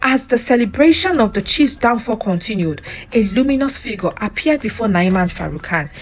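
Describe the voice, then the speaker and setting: A woman narrating a scripted folktale in an expressive, theatrical way. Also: a little high, fairly quick. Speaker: narrator, storyteller. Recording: music under the voice. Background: background music.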